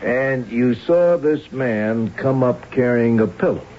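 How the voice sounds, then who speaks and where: An actor playing a methodical police arson captain in a 1970s radio drama, putting questions in a clipped, matter-of-fact way. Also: male voice, mid-range voice, slow pace, lightly acted. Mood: probing. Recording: dull, hissy vintage radio broadcast.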